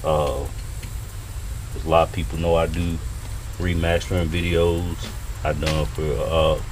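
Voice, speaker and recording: A man's voice: rumbling low, flat delivery, a little slow. A man, talking casually to viewers in a laid-back drawl while cooking outdoors at a grill, picked up by a camera mounted above it. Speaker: home cook vlogger. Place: outdoors at a grill beside a house. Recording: noisy outdoor camera audio.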